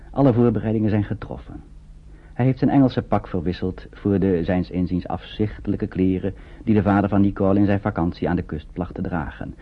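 A man narrates a scripted radio drama in a measured, storytelling way. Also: sits low, slightly rough. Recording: muffled narrow-band old radio recording.

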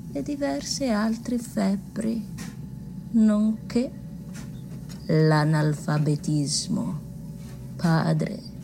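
A woman playing a grave, ominous narrator in radio theatre, solemn and deliberate with a gravelly voice. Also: sits low, heavily acted. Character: grave, ominous female narrator of a plague tale. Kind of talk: dramatic scripted narration. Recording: clearly noisy ambience.

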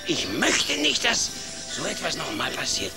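Volatile, commanding rat boss. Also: male voice, fairly high pitch, wildly swooping pitch, a little slow, vocal fry, loud music behind the voice, exaggerated voice. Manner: commanding, theatrical. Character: imperious male rat gang boss, stern and volatile. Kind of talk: children's radio play acting.

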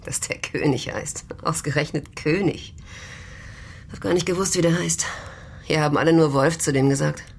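An actress in a German radio drama playing a tough, guarded prostitute who talks curtly and bluntly. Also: female voice, low voice, normal speed, gravelly, a little hiss or hum. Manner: curt, wary, blunt. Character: tough, guarded red-haired brothel prostitute, streetwise and proud.

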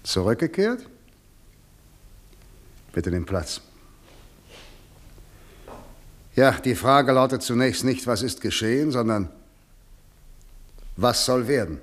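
An actor playing a stern, formal, middle-aged prosecutor and authoritarian father, measured and condescending, in a vintage radio play. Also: mid-range voice, expressive, natural voice. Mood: stern, sceptical. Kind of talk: mid-century German radio drama acting. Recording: roomy 1960 radio drama studio.

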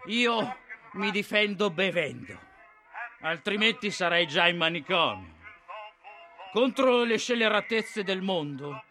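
Embittered drunken army officer, high voice, very animated, declamatory, radio play with music underneath. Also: male voice, takes it slowly, full character voice. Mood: bitter, impassioned. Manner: declamatory, rhetorical, emphatic. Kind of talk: theatrical radio drama monologue.

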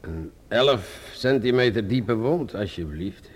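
An actor playing a weary, grumbling, sarcastic police inspector in a radio play, with a hesitant, muttering manner. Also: male voice, on the low side, animated, takes it slowly, a little hiss or hum. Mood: measured, formal. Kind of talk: Dutch radio drama acting.

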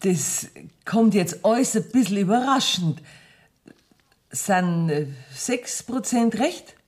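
A woman's voice: mid-range voice, lively intonation, slow pace, a little mellow. An actress playing a shrewd female moneylender who feigns innocence in a radio play, speaking offhand, coy Bavarian dialect. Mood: hesitant, coy.

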